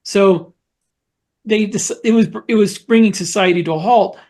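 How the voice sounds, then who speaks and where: A man with a noticeably high-pitched voice speaks as a podcast co-host. He explains and recounts things conversationally, like someone telling a story to friends in a casual panel discussion. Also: moderate pace, darkish tone.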